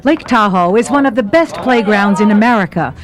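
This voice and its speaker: A woman's voice: medium pitch, expressive, fairly quick, a crowd in the background. A woman reading a scripted travel narration as the reporter of the segment. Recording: music behind the narration. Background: background music.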